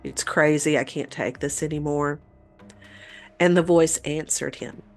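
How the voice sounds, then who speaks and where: A woman's voice: low-pitched, fairly even intonation, a little slow, gravelly, darkish tone, faint music behind. A woman co-hosting a talk show, telling a story in a conversational way. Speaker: podcast host telling a paranormal story.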